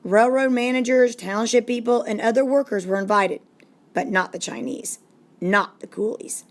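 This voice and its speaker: A woman reading a story aloud as a narrator, in a deliberate, dramatic storytelling manner. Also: fairly high pitch. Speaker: storybook reader. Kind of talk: expressive read-aloud narration.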